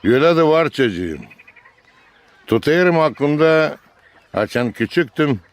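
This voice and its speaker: An actor playing a patient grandfather in a cartoon, speaking with a Gagauz accent in an explanatory, reminiscing way. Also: mid-range voice. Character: patient grandfather out fishing with children. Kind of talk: Gagauz-language cartoon dialogue.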